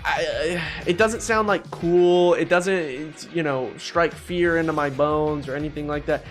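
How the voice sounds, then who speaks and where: A man's voice: a little high, measured pace, soft background music. A young man giving casual, opinionated, joking commentary as he ranks things in a gaming video. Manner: animated, joking, mildly profane.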